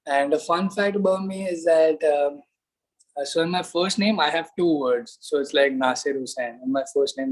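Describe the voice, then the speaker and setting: A man's voice: on the high side, low-quality recording. A young man from India, a student athlete answering casually on a panel. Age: young adult.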